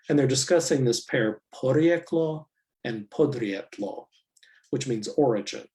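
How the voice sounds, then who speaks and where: Man giving a measured academic lecture. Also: on the low side, darkish tone, low-quality recording. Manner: measured, explanatory, with frequent "um" and "so". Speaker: university linguistics professor giving a public lecture.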